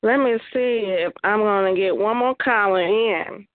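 A woman's voice: medium pitch, unhurried, dry recording, narrow, tinny audio. A woman hosting a call-in poetry radio show, upbeat and hyping up the callers.

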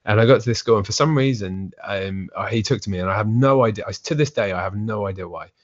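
A man's voice: lowish voice, fast talker. A man talking about his past as a podcast guest, chatty and meandering. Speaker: guest drummer. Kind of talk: casual storytelling. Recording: dull lo-fi audio.

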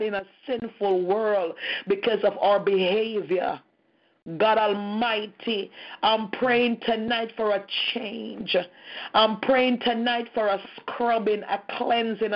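A woman leading a fervent intercessory prayer on a group call, speaking with intense passion and returning to "oh God" between her phrases. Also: medium pitch. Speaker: prayer leader. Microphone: phone or conference call. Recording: muffled lo-fi call audio.

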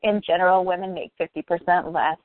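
A woman's voice: fairly low pitch, steady tone, a little fast, no echo. Blunt woman on a tinny line. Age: 30s. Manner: blunt, opinionated, swears freely. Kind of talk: casual political chat. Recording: tinny phone-like line, dry.

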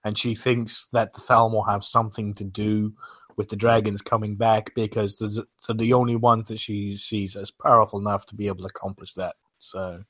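A man's voice: fairly low pitch, little pitch movement, moderate pace, fairly bright tone, dry recording. A man on a podcast panel, casually explaining the story of a game.

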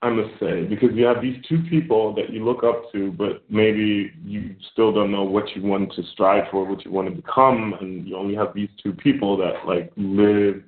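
A man from Germany, a filmmaker guest, talking reflectively in a casual interview with many pauses and fillers. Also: lowish voice, fairly even intonation. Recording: phone-like echoey remote call.

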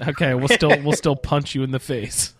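A man's voice: medium pitch, steady tone, normal speed. A man in casual, joking conversation as a panelist on a podcast.

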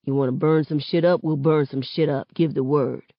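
A woman's voice: sits low, fairly even intonation, normal speed, no echo, lo-fi sound. A woman voicing a hot-tempered, protective young man in an audiobook, blunt and slangy. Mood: angry, resolved. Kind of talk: audiobook character acting.

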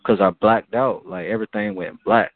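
A man from Miami telling a rambling, profane story in casual chat, with a halting manner. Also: fairly low pitch, fairly even intonation. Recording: phone-like band-limited audio.

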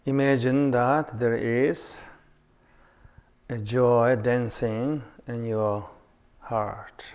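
A man leading a guided meditation calmly and without hurry, in short phrases with long pauses. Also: medium pitch, clear, resonant tone.

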